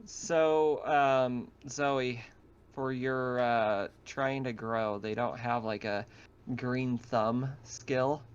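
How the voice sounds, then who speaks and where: A man's voice: mid-range voice, drawn-out words, smooth, dull audio. A man talking casually as game master of an online tabletop roleplaying game. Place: remote online voice chat.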